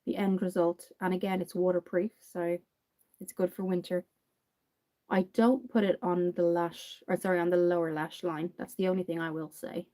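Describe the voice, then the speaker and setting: Young Irish woman, Dublin accent, chatty livestream makeup host, low mellow voice. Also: level tone, normal speed. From Ireland. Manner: chatty, reads and answers viewer comments. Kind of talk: casual livestream makeup tutorial.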